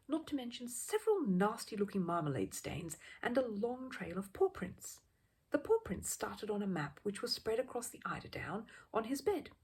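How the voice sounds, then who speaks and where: A woman narrating a children's story as a storyteller, expressively, with a husky, breathy voice. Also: mid-range voice.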